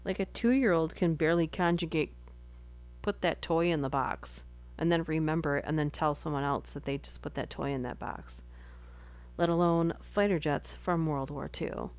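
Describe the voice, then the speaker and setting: A woman's voice: low voice, steady tone, moderate pace. A young adult woman streamer reading articles aloud, breaking off into chatty, giggly asides. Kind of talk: casual live-stream reading and chat.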